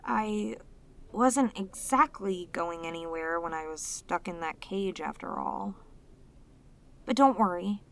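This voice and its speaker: Woman voicing a slow-talking, devoted yandere demoness. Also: on the low side, vocal fry, slight background noise. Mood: soothing, devoted. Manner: tender, halting, slow, intensely devoted. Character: sweet, devoted, clingy yandere demoness, long sealed away. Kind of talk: romantic audio roleplay, ASMR acting.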